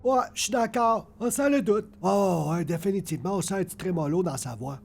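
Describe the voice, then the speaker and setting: A man voicing an excitable, teasing, scheming cartoon troublemaker with a Quebec French accent. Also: high voice, brisk, gravelly, full character voice. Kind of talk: comic cartoon acting.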